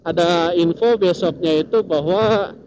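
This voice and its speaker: A man's voice: sits high, measured pace. A man, chattily telling a story to viewers in a casual motovlog, with repeated tag phrases. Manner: chatty, repetitive tag phrases, chuckles often. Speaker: motovlogger host. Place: outdoors, riding a motorcycle in traffic. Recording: muffled, loud vehicle and road noise.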